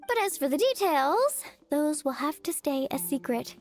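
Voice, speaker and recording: A woman's voice: high voice, very animated, normal speed, darkish tone, soft background music. A woman playing an upbeat, friendly young athletic woman in a fantasy video game. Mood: playful, friendly.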